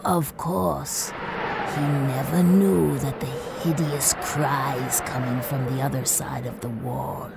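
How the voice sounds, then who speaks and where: A woman narrating a spooky folk tale in a hushed, eerie storytelling manner. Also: very deep voice, fairly even intonation, slow, gravelly, very noisy.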